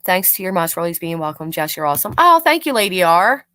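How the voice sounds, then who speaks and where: A woman's voice: on the low side, sing-song, quick pace, pushed and bright, no echo, studio quality. A blunt, sarcastic woman hosting a live stream, mocking clips, chatting with viewers and swearing freely. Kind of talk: live commentary reacting to clips and reading chat.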